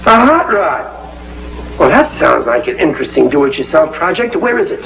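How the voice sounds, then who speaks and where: A blustery middle-aged American dad in a 1950s TV comedy, high-voiced and swooping all over. He is stubborn, stammering and proud of his bumbling handiwork. The sound is a noisy, muffled old soundtrack. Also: big pitch swings, normal speed, gravelly, a bit bright, exaggerated voice. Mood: eager. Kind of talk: 1950s television sitcom acting.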